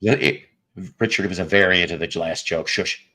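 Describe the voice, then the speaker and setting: A man with a raspy, hoarse voice hosting a music podcast, joking and rambling through tangents in casual chat. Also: low-pitched, a little fast, sharp tone. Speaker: podcast host.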